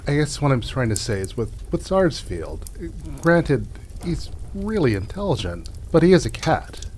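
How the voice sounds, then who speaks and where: Over clear background noise comes a man acting as a gentle small-town doctor in an improvised radio drama. He picks his words with care, stalling and hedging as he soothes in a mid-pitched voice. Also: big pitch swings, close to an everyday voice. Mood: placating. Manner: halting, tactful, placating, hedging.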